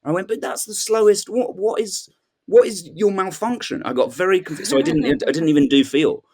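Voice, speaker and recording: A man's voice: sits high, a little fast, darkish tone. A man telling a comic anecdote as a podcast guest, sounding insistent and mock-bewildered.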